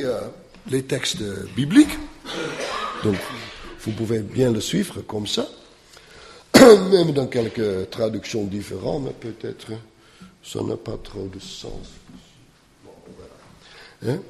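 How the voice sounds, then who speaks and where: A man who is not a native speaker, giving a Bible lecture in a slow, halting way, often checking in with "hein?" and filling pauses with "euh". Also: medium pitch, very animated, vocal fry, a little mellow, long reverb tail. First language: not French.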